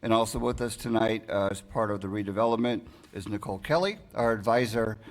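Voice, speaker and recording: A man's voice: lowish voice, a little fast. An American man speaking as a council member and commission chair, conducting formal meeting procedure. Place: indoor town council meeting.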